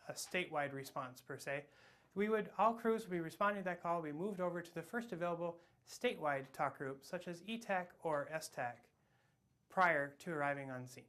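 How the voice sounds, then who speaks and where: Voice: medium pitch, a bit bright. An American speaker delivering a scripted instructional presentation as a trainer.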